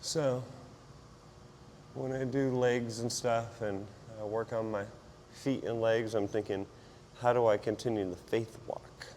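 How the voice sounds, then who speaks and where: A man with a hoarse, partly lost voice talks casually and self-deprecatingly as a fitness vlogger while he exercises. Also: medium pitch, fairly even intonation, measured pace, darkish tone, slight background noise. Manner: informal, self-deprecating, rambling while exerting himself. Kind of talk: casual workout vlog chat while exercising.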